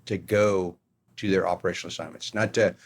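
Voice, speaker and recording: A man's voice: low-pitched, steady tone, a little fast. A middle-aged American man telling stories from his career as a guest in a conversational interview. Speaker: podcast guest, retired army colonel.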